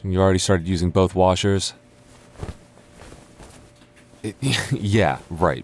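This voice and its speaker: A man's voice: low voice, darkish tone. A young man acting as a sarcastic, teasing and stubborn childhood friend in a naturalistic boyfriend audio roleplay. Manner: teasing, sarcastic, stammers when flustered. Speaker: voice actor.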